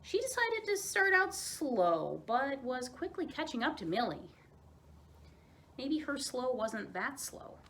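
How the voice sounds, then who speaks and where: Animated woman reading a children's story. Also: high-pitched, slow pace, a little hiss or hum. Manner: expressive, dramatized reading. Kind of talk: read-aloud children's story narration.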